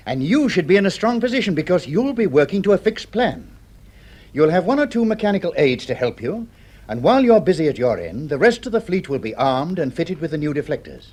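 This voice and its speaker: A courteous chief of a space project in 1950s radio drama. He speaks with formal authority in a highish, animated voice. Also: brisk. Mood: businesslike.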